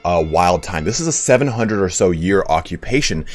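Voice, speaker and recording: A man streaming a game while giving a casual, rambling history talk, hoarse today, with blunt profanity and shout-outs to donors. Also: low-pitched, lively intonation, moderate pace. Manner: rambling, profane, reads donations aloud.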